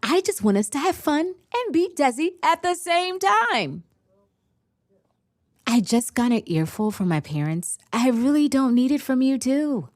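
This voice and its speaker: A woman playing a fiercely competitive, driven high-school team captain in an audio drama. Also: big pitch swings, measured pace, dry recording, studio quality. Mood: stressed, pushy. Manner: driven, insistent. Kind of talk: scripted audio drama acting.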